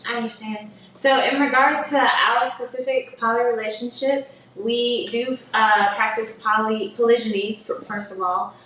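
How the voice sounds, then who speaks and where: A woman speaking as a guest on a livestream, explaining things in a measured, articulate way. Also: on the high side, steady tone, a bit bright. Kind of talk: explanatory chat. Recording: distant, echoey, with faint noise.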